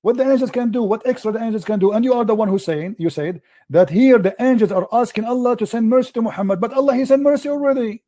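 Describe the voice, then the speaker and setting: A man's voice: very high-pitched, quick pace, hardly any pauses, warm tone, dry recording. A man hosting a religious debate, pressing his points insistently and repeating himself in nonstandard English. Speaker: debate host.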